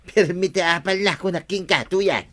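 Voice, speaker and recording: Boastful, scheming rural husband, Ilocano accent, bright forceful voice, radio comedy. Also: male voice, high-pitched, a little slow. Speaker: radio drama actor.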